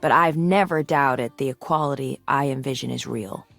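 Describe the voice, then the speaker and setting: A woman voicing a mature, resolute and idealistic swordswoman in a video game, composed and earnest in her measured delivery. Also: a bass voice, vocal fry, a bit bright, no echo, faint music behind, heavily acted. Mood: solemn, reflective. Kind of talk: scripted video game character lines.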